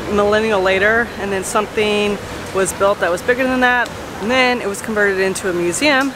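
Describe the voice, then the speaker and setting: A woman's voice: medium pitch, heavy background noise. A woman in her thirties chatting to a handheld camera at arm's length on busy city streets, upbeat and full of "like" fillers. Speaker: travel vlogger. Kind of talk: casual travel vlog chat to camera. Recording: loud crowd and music behind. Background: crowd chatter and music.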